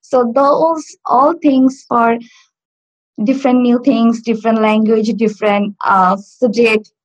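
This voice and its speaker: A woman from Bangladesh whose first language is Bangla, talking in a halting way with many fillers and restarts as a learner on a video call. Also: a little high, fairly even intonation, muffled recording. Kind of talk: casual video-call interview. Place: home in Stockport.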